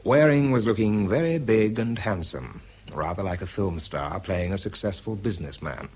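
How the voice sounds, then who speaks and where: A man's voice: lowish voice, moderate pace, darkish tone, slightly rough. An actor playing a weary, sardonic research scientist who tells his own story in a radio drama, dry and understated. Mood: dry, reflective. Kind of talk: first-person radio drama narration and dialogue.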